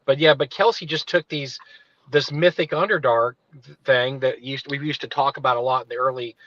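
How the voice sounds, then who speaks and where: A man's voice: mid-range voice, brisk, a bit bright, dull audio. A man co-hosting a casual livestream chat from inside his parked car. He rambles and digresses, restarting his sentences often. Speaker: livestream co-host.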